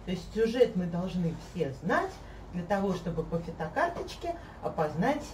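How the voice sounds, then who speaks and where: A woman in her thirties, sitting on a sofa in a living room and chatting with animated, hesitant speech, recorded by a camera across the room. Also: fairly low pitch, moderate pace. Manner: animated, gesturing, many hesitations. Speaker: host, olfactory artist. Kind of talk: casual conversation. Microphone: fixed camera across the room. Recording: echoey room, mic at a distance, faint hiss.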